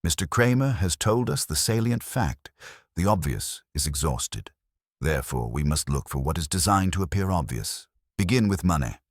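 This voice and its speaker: A man's voice: sits low, sing-song, normal speed, no room sound, studio quality, heavily acted. A man voicing a pompous, unflappable private detective in an audiobook, formal and commanding. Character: pompous, imperturbable genius male private detective.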